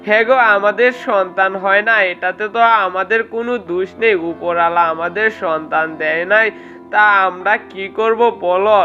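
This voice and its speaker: Woman voicing gentle ghost husband, bright and muffled. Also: mid-range voice, moderate pace, soft background music, natural voice. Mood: resigned. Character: gentle, honest male ghost husband, resigned and polite. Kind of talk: amateur storybook cartoon voicing.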